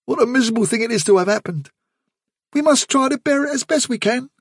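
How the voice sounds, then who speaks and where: A man's voice: very high voice, lively intonation, breathy edge, no echo, studio quality, voice pushed up, exaggerated voice. A man voicing a kind young male rabbit comforting a friend in a storybook reading. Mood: soothing. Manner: gentle, soothing, quick. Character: kindly young male rabbit, gentle and steady.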